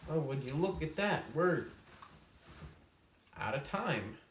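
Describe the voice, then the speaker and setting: A man hosting a comedic storytime video, casually summing up a book to camera with tongue-in-cheek, deadpan asides. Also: on the high side, slow, recorded from a distance. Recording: roomy echo, off-mic, faint hiss.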